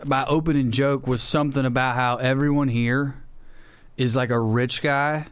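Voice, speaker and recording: A man's voice: mid-range voice, steady tone, moderate pace, clean tone. An American man chatting casually and comedically as a podcast co-host.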